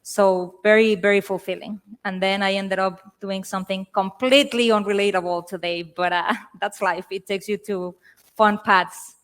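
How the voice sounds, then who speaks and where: A woman's voice: mid-range voice, fairly even intonation, normal speed, a bit bright, clear, resonant tone. A woman from Mexico with a Mexican accent, speaking as a panelist on a conference stage into a handheld mic, chatty and self-deprecating. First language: Spanish.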